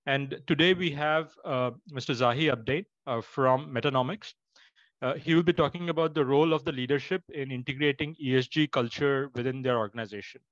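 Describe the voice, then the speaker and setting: A man giving a formal introduction as a webinar host, speaking in a halting, measured way. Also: medium pitch, no room sound, lo-fi sound. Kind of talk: formal introduction, unscripted remarks.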